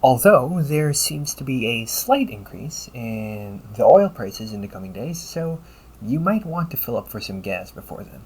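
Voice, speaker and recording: Man acting as a lively AI caregiver companion, audible background noise. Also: medium pitch, measured pace. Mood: helpful, chatty. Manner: polite, chirpy, gently nagging, butler-like phrasing. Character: upbeat, teasing male AI caregiver companion, fussy and helpful. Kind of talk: scripted audio roleplay addressed to the listener.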